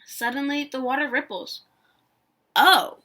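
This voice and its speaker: A woman reading a children's picture book aloud in an expressive, deliberate storytelling manner. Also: sits high.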